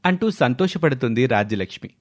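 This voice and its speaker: A man's voice: medium pitch, lively intonation, brisk. A man narrating a scripted moral story as its storyteller.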